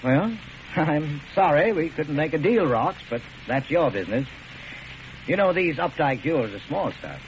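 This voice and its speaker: An actor playing a genteel, professorial doctor turned criminal, polite and wordy, in a 1940s radio drama. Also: male voice, on the high side, big pitch swings, normal speed. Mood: suave, pleased. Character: genteel, erudite male doctor posing as cool criminal. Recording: dull, noisy old radio recording.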